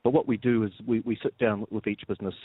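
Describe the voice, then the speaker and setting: A man with a New Zealand accent and a somewhat low voice, explaining things as an interview guest. He often restarts and repeats words while he thinks. He is heard over a dry, tinny phone line. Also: steady tone, quick pace, slightly rough, no echo. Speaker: guest, business executive. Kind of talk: radio interview.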